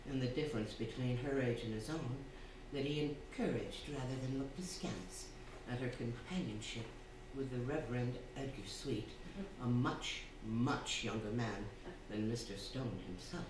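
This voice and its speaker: A man acting as a wry, knowing narrator, giving measured dramatic narration for a staged radio play. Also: mid-range voice, expressive, far from the mic, close to an everyday voice. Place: theatre hall, distant microphone. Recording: very echoey, noisy stage recording.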